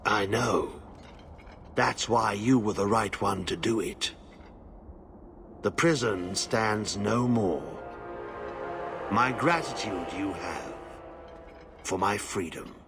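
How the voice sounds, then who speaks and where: An actor playing a haunted, corrupted old warden in a video game, speaking cryptically in short halting phrases. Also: male voice, lowish voice, creaky, a bit bright, soft background music, heavily acted. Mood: grateful, solemn. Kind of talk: video game drama acting.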